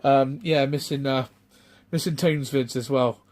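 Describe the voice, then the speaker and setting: A middle-aged man, chatting off the cuff in a rambling, halting way full of ums and restarts. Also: mid-range voice, fairly even intonation, a little mellow. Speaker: retro game collector vlogger. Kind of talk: casual off-the-cuff vlog chat.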